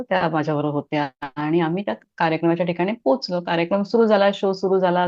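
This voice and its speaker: The speaker is a middle-aged woman with a mid-range voice, recorded with a dull, lo-fi sound. She tells stories conversationally as an interview guest. Her delivery is animated, as she acts out bits of what people said. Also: mid-range voice.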